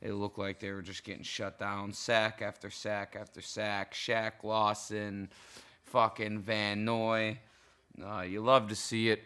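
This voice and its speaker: A tipsy man with a worn-out, cracking voice rants about a football game, swearing often and wandering off track. His tone is bright and forceful, and his voice stays smooth and clear beneath the hoarseness. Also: fairly low pitch, flat delivery, a little slow. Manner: profane, heated, rambling. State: hoarse, intoxicated. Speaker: sports fan vlogger. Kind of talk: casual game reaction rant.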